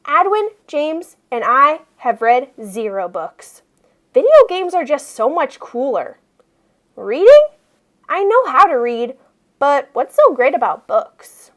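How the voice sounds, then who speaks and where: Woman, children's read-aloud, deliberate phrasing, very lively intonation, slow pace. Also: high voice. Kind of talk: expressive children's picture book reading.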